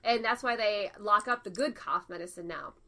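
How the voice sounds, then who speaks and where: A woman's voice: mid-range voice, normal speed, pushed and bright. A woman co-hosting a casual podcast, with a nasal voice, chatting and laughing often while telling stories. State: recovering from illness. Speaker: podcast co-host. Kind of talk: casual chat.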